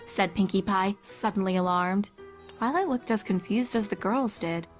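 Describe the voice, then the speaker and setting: A woman narrates a story in a scripted, storybook style. Her voice sits at a medium pitch, with soft music playing underneath. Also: moderate pace. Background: background music.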